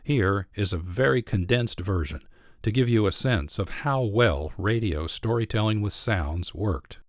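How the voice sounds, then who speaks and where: A man giving measured, explanatory scripted narration as the host of a documentary program. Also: fairly low pitch, expressive, dry recording, clean studio sound.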